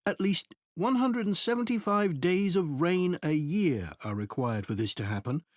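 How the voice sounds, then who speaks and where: A man giving a scripted academic presentation in a measured, explanatory way. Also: sits high, expressive, no room sound, clean studio sound. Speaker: presenter in a recorded exam listening test.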